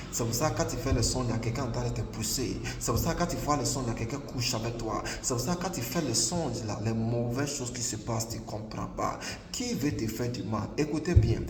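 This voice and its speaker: A man's voice: medium pitch, noisy background. A man from Ghana with a Ghanaian accent and English as his first language, preaching an emphatic, repetitive sermon. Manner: emphatic, repetitive, filler "là" everywhere. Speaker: prophet preacher. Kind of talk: online sermon. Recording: echoey hall, distant mic, faint ambience.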